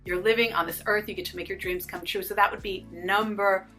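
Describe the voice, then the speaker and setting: A woman's voice: medium pitch, fairly even intonation, fairly quick, bright, forceful tone, soft background music. A woman in her fifties talking casually to the camera, upbeat and encouraging, speaking straight to her viewers. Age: 50s. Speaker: fitness and lifestyle YouTuber.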